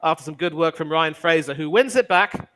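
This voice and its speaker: A man with a fairly high, bright voice chats on a football watch-along. He slips easily into measured commentator patter and calls the action as if for broadcast. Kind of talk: casual chat mixed with play-by-play commentary.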